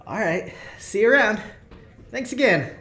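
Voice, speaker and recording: A man's voice: high-pitched, expressive, unhurried, pushed and bright, exaggerated voice. A man playing a friendly ship engineer who trades favors, cajoling and hesitant, in an audio drama. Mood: sheepish, grateful. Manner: cajoling, hesitant, eager to please.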